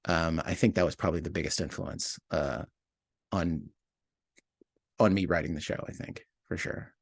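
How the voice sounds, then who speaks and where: A man speaking as an interview guest, talking casually and reflectively about his writing work, thinking aloud in halting fragments. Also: low-pitched, steady tone, creaky, no echo, studio quality. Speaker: audio drama writer and producer, interview guest.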